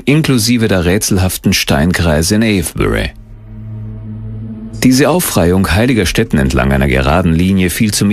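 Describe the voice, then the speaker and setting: A male documentary narrator with a very low voice that has a gravelly creak. He delivers scripted narration with wide, animated intonation.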